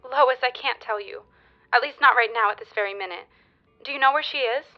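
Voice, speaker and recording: Over a slightly dull telephone line comes an actress as an earnest schoolgirl in a period radio play. Her voice is fairly high, and she speaks with anxious, formal urgency. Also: a little fast, soft background music, close to an everyday voice. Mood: urgent, anxious. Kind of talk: period audio drama acting.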